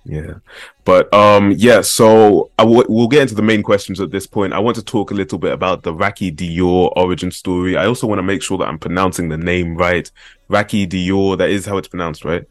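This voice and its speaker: A man's voice: deep voice, steady tone, fairly quick, dry recording. A man hosting a casual podcast interview, chatty and laid-back with plenty of slang. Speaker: podcast host.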